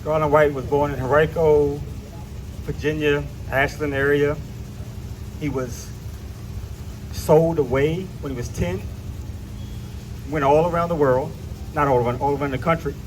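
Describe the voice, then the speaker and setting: Slightly high-voiced man, emphatic public presenter. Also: rough recording. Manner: emphatic, repeats phrases, asks listeners questions. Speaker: presenter at a history event. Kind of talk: informal public talk to a live audience. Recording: live event with faint noise.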